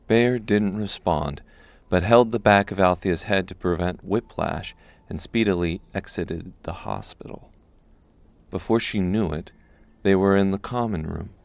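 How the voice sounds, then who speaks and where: A man narrates a dark fantasy audiobook from a script. His voice is low, with a gravelly creak running through it. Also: no room sound.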